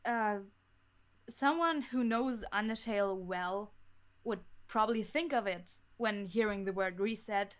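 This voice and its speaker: A fifteen-year-old girl chatting casually as an interview guest, in a hesitant, halting manner. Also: medium pitch. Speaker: interview guest, audio drama creator. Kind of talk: casual chat.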